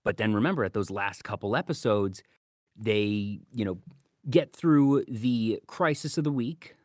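A man with a fairly low voice hosts a casual anime podcast. He gives conversational commentary, and the sound is dry and close, with little room in it. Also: measured pace, a little mellow, dry recording. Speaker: podcast host.

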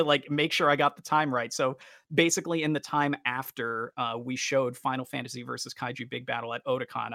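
A man talks casually as a podcast co-host, with noticeable vocal fry in a mid-pitched voice. He is enthusiastic but halting as he works through his thoughts. The sound is close and dry. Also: bright, forceful tone, no echo. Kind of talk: casual fan podcast discussion.

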